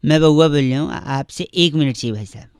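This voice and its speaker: A man's voice: on the high side, fairly quick, darkish tone, clean tone, no echo. A man hosting radio prank calls, talking in a teasing, insistent, mock-polite way and sometimes reciting comic verse. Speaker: radio prank-call host.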